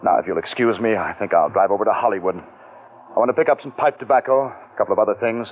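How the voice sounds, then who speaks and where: A man's voice: lowish voice, quick pace, a little hiss or hum, natural voice. An actor playing a scheming young man who stammers when caught off guard. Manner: nervous, stammering when thrown. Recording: tinny old radio broadcast.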